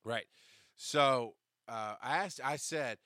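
Deep-voiced man telling a story, dry sound. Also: takes it slowly, no echo. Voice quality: deep, bass-heavy voice. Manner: animated, profane, acts out quoted lines. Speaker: podcast host. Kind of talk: casual storytelling monologue.

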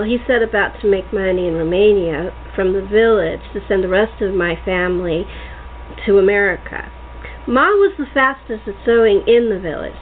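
A woman host reading scripted personal narration as a storyteller. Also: medium pitch. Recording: tinny phone-like line with faint noise.

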